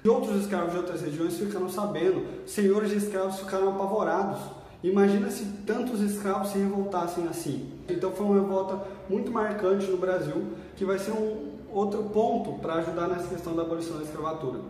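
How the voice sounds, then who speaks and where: A man's voice: high voice, fairly quick, a little mellow, a little hiss or hum. A man with a Brazilian Portuguese accent giving an informal recorded history lesson for exam prep, chatty and slangy, often checking in with his students. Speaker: history teacher. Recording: echoey room, distant mic.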